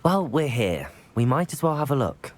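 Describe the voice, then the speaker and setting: An actor voicing a cautious, loyal teenage student in a video game, polite and measured in a formal way. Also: mid-range voice, sing-song, a little hiss or hum, close to an everyday voice. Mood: agreeable.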